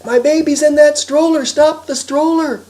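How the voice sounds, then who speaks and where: Woman doing a frantic father, high and yelling. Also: steady tone, normal speed, lightly acted. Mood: panicked yelling. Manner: loud, urgent, yelling. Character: Harry, frantic, careless young father, defensive and shouting. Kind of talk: live storytelling character voice.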